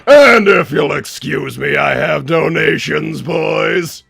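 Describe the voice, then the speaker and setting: A man playing a flamboyant, excitable mad-scientist villain hosting a livestream, theatrical, exasperated and rambling, with a raspy voice. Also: fairly high pitch, slow, dry recording, studio quality, exaggerated voice. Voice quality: gravelly, raspy. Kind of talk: improvised in-character livestream hosting.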